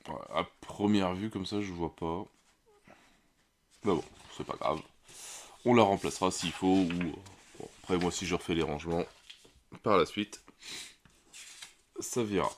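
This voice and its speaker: Low-voiced man with a head cold. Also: measured pace, pauses often, slight background noise. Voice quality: slightly off, congested voice. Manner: relaxed, hesitant, many fillers. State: has a cold. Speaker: board game channel host. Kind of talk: casual unboxing commentary.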